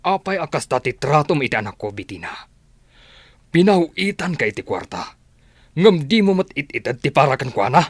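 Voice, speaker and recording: A man's voice: fairly high pitch, sing-song, moderate pace, slightly rough, dry recording. An actor with an Ilocano accent playing a stern, protective father in a radio drama, confronting and commanding. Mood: stern, reproachful. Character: stern protective father ordering people out of his house.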